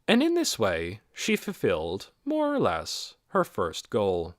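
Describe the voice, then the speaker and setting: A man reading a story aloud as an audiobook narrator, in an expressive, measured way. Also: lowish voice, dry recording, studio quality. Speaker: audiobook reader.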